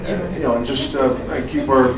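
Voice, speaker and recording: A man's voice: medium pitch, fairly quick, background noise. A man giving public comment at a town council meeting, polite and hesitant, full of fillers. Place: borough council meeting room. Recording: echoey room, distant mic, loud crowd.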